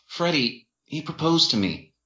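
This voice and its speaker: A man portrays a gentle, conflicted young man in an audiobook. He sounds upset and hesitant, pleading at a raised pitch. The room echoes and the sound is slightly dull. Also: big pitch swings, unhurried, full character voice. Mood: tearful, conflicted.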